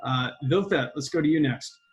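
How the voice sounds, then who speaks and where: A man's voice: a little high, moderate pace. Upbeat man moderating a panel. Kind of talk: hosting an online panel.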